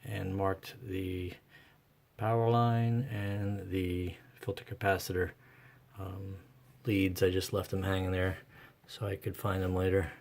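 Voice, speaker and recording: A man narrating his own hands-on repair work casually and unscripted, thinking aloud in a halting way. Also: low-pitched, flat delivery, vocal fry, darkish tone. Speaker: host of a radio repair vlog.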